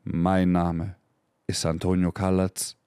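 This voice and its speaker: A man in his thirties speaks in a low register, close and dry, as he hosts his own motivational podcast. His talk is emphatic and full of swearing, with English slang dropped in among the German. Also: completely flat delivery, takes it slowly, dark tone, smooth, no echo. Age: 30s. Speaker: podcast host.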